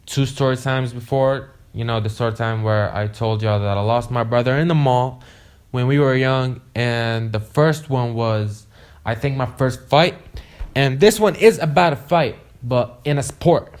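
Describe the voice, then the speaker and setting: A young man whose first language is Arabic rambles through a casual storytime. His voice sits in a middle pitch, and he keeps dropping "you know" and swearing as he talks. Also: unhurried, clear, resonant tone. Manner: rambling, profane, many "you know" fillers. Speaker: vlogger.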